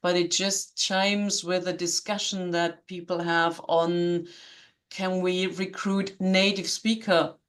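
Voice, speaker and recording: A man's voice: sits high, little pitch movement, takes it slowly, a bit bright. A man speaking in a webinar discussion over a video call, hesitant and thinking aloud. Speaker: webinar participant asking a question.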